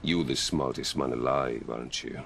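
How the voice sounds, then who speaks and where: A thuggish young god in a fantasy game. His voice is extremely low and gravelly, sneering with menace, over faint background noise. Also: normal speed, a bit bright, exaggerated voice. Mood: taunting. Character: menacing, taunting thuggish son of Thor.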